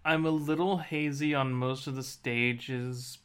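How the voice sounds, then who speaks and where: A man with a medium-pitched voice in casual podcast conversation. He talks about video games in a laid-back, slightly hesitant way, piecing his thoughts together as he goes. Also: steady tone, a little slow, clear, resonant tone. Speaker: podcast co-host. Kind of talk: casual video game chat.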